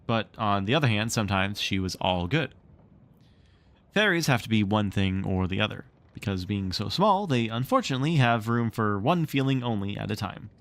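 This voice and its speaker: Low-voiced man reading a storybook. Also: normal speed. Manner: expressive, theatrical storytelling. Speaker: streamer reading a book aloud. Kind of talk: storybook read-along narration.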